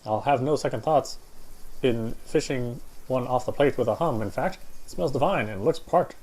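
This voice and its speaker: Guy reading visual novel narration out loud, mid pitched voice. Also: moderate pace, breathy edge, low-quality recording. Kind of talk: reading first-person story narration aloud.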